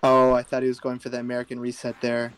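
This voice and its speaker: A man co-hosting a live fighting-game stream, calmly explaining techniques and running the tournament. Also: medium pitch, fast talker, smooth, soft background music. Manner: even-toned, technical analysis. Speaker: stream co-host and tournament organizer.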